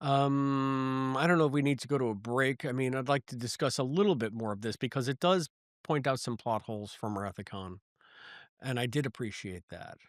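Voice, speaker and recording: Male podcast co-host, mid-pitched, casual review talk, animated and repeats for emphasis, very dry recording. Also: moderate pace, no room sound. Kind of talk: casual review discussion of a sci-fi audio drama.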